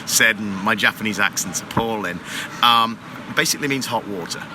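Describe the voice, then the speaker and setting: A man with a low voice explains things at a loose, wandering pace, full of ums and asides. He speaks as a guest on a busy trade show floor. The background chatter of the hall is clearly audible. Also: fairly quick. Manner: explanatory, rambling with fillers. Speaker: guest, water heater company manager. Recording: noisy expo floor background.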